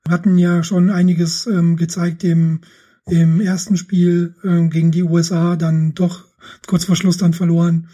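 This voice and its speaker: A man's voice: a little high, unhurried. A man hosting a podcast, chatting casually about football with a guest and wrapping up the show. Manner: relaxed, thanks the guest and wraps up the show. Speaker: podcast host.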